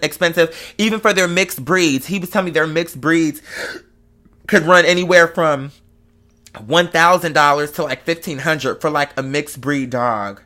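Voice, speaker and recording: A man's voice: on the high side, bright, forceful tone. A man chatting casually and confessionally to his online followers as host, rambling and digressive, with emphatic, slangy, often profane phrasing.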